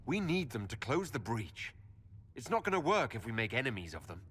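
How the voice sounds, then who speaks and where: A man playing an earnest, diplomatic hero in a video game, reasoning plainly with measured words. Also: medium pitch, sing-song, a bit bright, husky. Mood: earnest, resolute. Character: earnest, diplomatic adult male fantasy hero. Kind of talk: video game cutscene acting. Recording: hall reverb with music behind.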